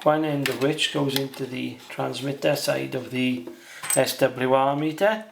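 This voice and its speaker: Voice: mid-range voice, steady tone, a little slow, vocal fry, background noise. A hobbyist presenter giving a casual beginner's how-to, talking plainly and without hurry through each step while working.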